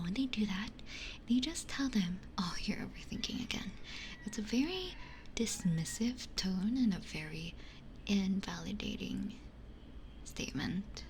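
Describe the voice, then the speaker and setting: Slow, whispering woman, ASMR style. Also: mid-range voice, a little hiss or hum. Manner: hushed, breathy whispering. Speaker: ASMR streamer. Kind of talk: whispered ASMR, casual chat to live viewers. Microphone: close binaural ASMR microphone.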